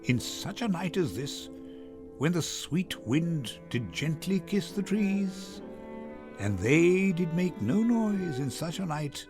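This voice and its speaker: A man playing a romantic young lover in a Shakespeare reading, slow, hushed and lyrical. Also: on the high side, sing-song, over music, full character voice. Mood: dreamy, tender.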